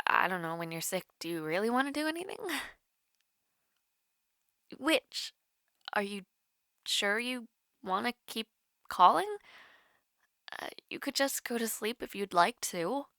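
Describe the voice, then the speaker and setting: A woman's voice: mid-range voice, lively intonation, slow, pauses often, no room sound, clean studio sound, heavily acted. A young woman playing a shy, caring college student with a crush in an intimate audio roleplay, hesitant and easily flustered. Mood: casual, gentle, then considerate, fond. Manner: hesitant, flustered, soft-hearted, giggly. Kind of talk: intimate romantic audio roleplay, one-sided call.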